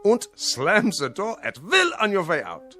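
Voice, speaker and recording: An actor playing a weary, sardonic psychiatrist in a radio drama. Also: male voice, sits high, wildly swooping pitch, measured pace, sharp tone, faint music behind, exaggerated voice. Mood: dryly obliging.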